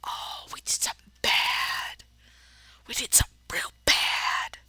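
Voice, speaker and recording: Female let's player, bright tone, expressive narration with playful, blunt running commentary. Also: a little slow, no echo, whispered. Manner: expressive narration broken by blunt sassy asides. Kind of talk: reading a visual novel aloud with playful running commentary.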